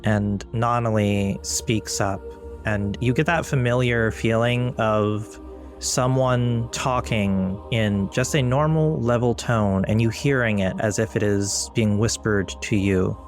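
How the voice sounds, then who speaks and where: A man runs a tabletop story as game master in a fairly low voice. He takes his time, setting out each phrase in a slow, deliberate way over prominent background music. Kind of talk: narrating a tabletop actual play.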